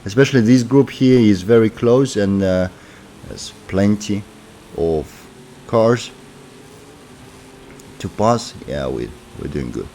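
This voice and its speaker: A man's voice: on the low side, lively intonation, slow pace, long pauses, warm tone, slight background noise. A man with a sore throat from a cold, giving casual commentary on his racing game as he plays, thinking aloud with many fillers. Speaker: gaming commentator.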